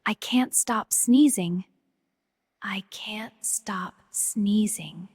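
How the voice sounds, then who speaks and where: A woman leads a slow language drill, saying each short line again and again, often in a whisper. Her voice is husky and breathy, recorded close in a dry, dead room. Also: mid-range voice, darkish tone, no echo. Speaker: English teacher. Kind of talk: slow repeated phrase drills.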